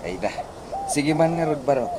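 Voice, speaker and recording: An actor playing a weary, fond ageing uncle in a village radio comedy drama. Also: male voice, on the high side, expressive, moderate pace, natural voice. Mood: weary, reassuring. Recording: noisy radio drama, birdsong ambience behind.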